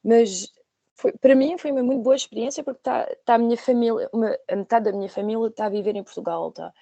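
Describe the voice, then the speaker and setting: A woman's voice: on the high side, fast talker, vocal fry, mellow, no room sound, lo-fi sound. A woman in her twenties answering interview questions conversationally, often searching for her words and repeating them. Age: 20s. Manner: hesitant, searching for words with repetitions. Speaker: podcast guest, former trainee.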